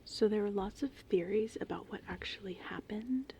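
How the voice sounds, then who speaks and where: A woman doing casual, meandering ASMR in a breathy, whispered and softly spoken voice. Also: medium pitch, normal speed, a little hiss or hum. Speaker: ASMR host. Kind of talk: ASMR rambling movie summary. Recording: steady rain and thunder ambience behind. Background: gentle rain and thunderstorm sounds.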